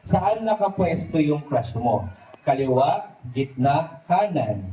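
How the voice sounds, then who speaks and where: A man's voice: fairly high pitch, very animated, slow, mellow, a little hiss or hum. A man with a Filipino accent working as a puppeteer and party host, giving patient, short prompts in live comedy style. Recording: roomy echo, distant mic, music behind.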